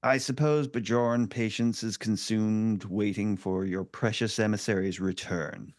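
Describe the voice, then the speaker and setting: A man's voice: on the low side, fairly even intonation, measured pace, dry recording, clean studio sound, lightly acted. A man playing a menacing alien pirate boss in a table read, mock-courteous and theatrical. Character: menacing, silkily mocking Deltan pirate crime boss. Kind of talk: fan script table read.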